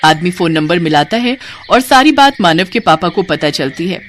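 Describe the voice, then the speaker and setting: A woman's voice: fairly low pitch, lively intonation, normal speed, noisy background. A woman storyteller reading a scripted tale as narrator.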